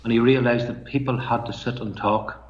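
Middle-aged Northern Irish storyteller, soft-spoken and measured, dull roomy line. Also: male voice, mid-range voice, steady tone. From Northern Ireland. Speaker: guest poet and storyteller. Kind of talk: reflective interview talk. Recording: muffled, roomy remote line.

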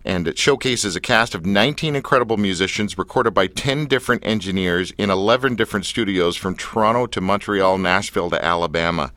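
A man's voice: sits low, brisk, hardly any pauses, sharp tone, no echo. A man hosting a studio interview who talks in a playful, teasing and enthusiastic way. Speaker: radio show host. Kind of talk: casual interview chat. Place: radio studio.

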